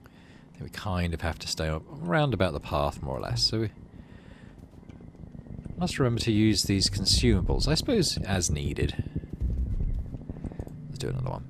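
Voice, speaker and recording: Low-voiced man with lively, swinging intonation. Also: normal speed, creaky, pauses often, noisy background. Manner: thinks aloud in tentative, self-questioning asides. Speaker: gaming video host. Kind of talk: let's play commentary mixed with reading game narration aloud.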